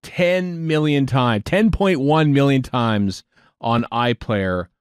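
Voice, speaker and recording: A man's voice: mid-range voice, takes it slowly, clear, resonant tone, no room sound, studio quality. A man co-hosting a podcast in casual chat, rambling with lots of fillers. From United States. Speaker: podcast co-host.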